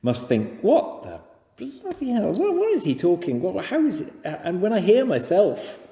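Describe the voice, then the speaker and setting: A middle-aged man with an English accent and a soft, mellow tone speaks in a very reverberant room. He is a talkative guest who tells anecdotes casually and pokes fun at himself as he rambles. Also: sits high, huge pitch swings, normal speed. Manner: rambling, self-deprecating, talkative. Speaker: podcast guest. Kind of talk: casual, anecdotal interview chat.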